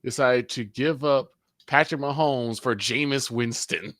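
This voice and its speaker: A man co-hosting a casual sports chat, teasing the others in an unhurried way. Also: medium pitch, fairly bright tone, dry recording, studio quality. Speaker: co-host.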